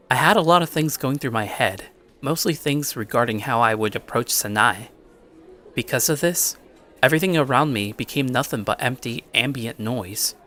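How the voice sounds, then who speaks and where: A man playing an anxious, introspective high school boy who narrates his own story. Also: bright, forceful tone, lightly acted. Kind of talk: first-person scripted story narration. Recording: music under the voice.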